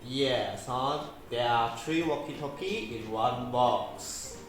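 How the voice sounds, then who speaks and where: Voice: mid-range voice, slow pace, slight background noise. A parent hosting a casual toy unboxing, repeating words and phrases and putting simple teaching questions to a child. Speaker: parent and vlog host presenting a toy review. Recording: echoey room with loud background music. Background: music.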